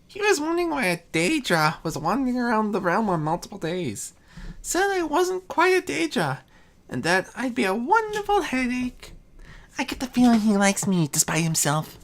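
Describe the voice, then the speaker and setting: A woman's voice: on the high side, very animated, normal speed, pitched above the performer's own voice. A woman voicing a mischievous, boastful young male chaos spirit in a story, grumbling and bragging offhandedly. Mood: musing. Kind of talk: fan fiction story reading.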